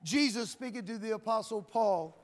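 A male preacher giving a loud sermon, asking the crowd "Amen?" again and again, repeating and stumbling over words as he builds to shouting, heard in a church with long reverb and faint music behind. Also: high voice, moderate pace.

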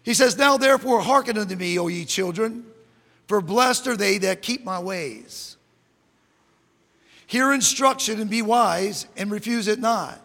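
A middle-aged man preaching a sermon in a church, reading scripture and rising into fervent shouts. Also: sits high, measured pace, creaky. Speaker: pastor. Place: church sanctuary. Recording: long church hall reverb.

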